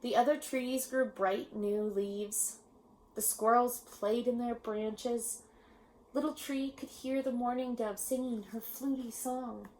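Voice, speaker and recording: A woman narrates a children's story at a very slow, measured pace, in a fairly high voice. She reads expressively and leaves pauses between phrases. There is some ambient noise behind her. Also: steady tone, a little mellow, poor audio. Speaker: storybook reader. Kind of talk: children's read-aloud narration.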